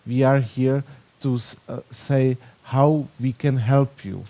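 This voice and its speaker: A man from the Czech Republic with a Czech accent, speaking halting non-native English with many fillers at a conference panel. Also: mid-range voice, fairly even intonation, dark tone.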